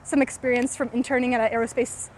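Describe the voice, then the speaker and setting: A woman's voice: a little high, flat delivery, quick pace, slight background noise. A woman in her twenties from California, talking casually in an interview about her startup, with many filler words. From California, USA. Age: 20s. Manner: frequent "like" and "um" fillers, thoughtful. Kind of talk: casual interview while walking. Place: outdoors on a city street.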